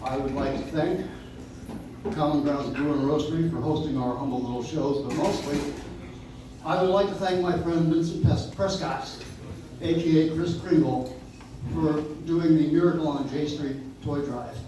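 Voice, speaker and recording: A man's voice: on the high side, moderate pace, far from the mic. A man casually and informally introducing a live show on stage, recorded from the audience in an echoey room. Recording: echoey room from afar, clear noise.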